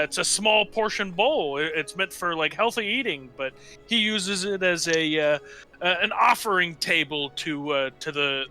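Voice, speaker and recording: High-pitched, bright-voiced man improvising haltingly. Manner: halting, hesitant delivery with tongue-in-cheek humor. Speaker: player in a tabletop roleplaying game. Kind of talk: improvised casual character description.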